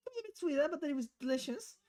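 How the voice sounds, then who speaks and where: A young female idol singer in a visual novel, acted out by a woman reading the game aloud. The voice sits very high and swings around a lot. She is bubbly, showy and eager, chirping her lines like a stage performer. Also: racing, slight background noise. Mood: cheerful. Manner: chirpy, animated, theatrical.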